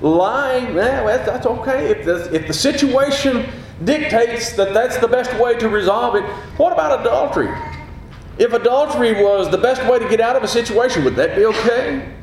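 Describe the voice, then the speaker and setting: A man preaching a sermon with a gruff, booming voice and passionate emphasis. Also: expressive, normal speed, a little hiss or hum. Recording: very echoey hall.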